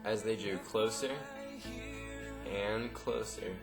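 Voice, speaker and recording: A man reading a scripted story narration with dramatic pauses, in a noisy amateur recording. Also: fairly low pitch, steady tone, gravelly, over music.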